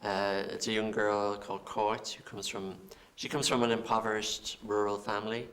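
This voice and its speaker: An Irish film director answering interview questions, halting and repeating words as he thinks. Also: fairly low pitch, little pitch movement, fairly bright tone. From Ireland.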